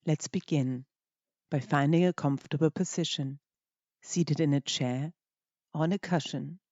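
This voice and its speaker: A meditation teacher gently guiding a practice in short, unhurried phrases with pauses between them. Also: mid-range voice, no echo, muffled recording. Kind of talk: guided meditation narration.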